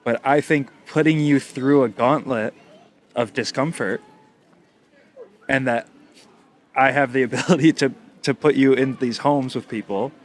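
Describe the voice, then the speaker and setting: A man co-hosting a casual podcast, mid-pitched and conversational. He thinks aloud in long, looping sentences and teases his partner now and then. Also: fairly even intonation, a little slow, pauses often. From United States. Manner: teasing, thoughtful, speaks in long winding sentences. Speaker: podcast co-host.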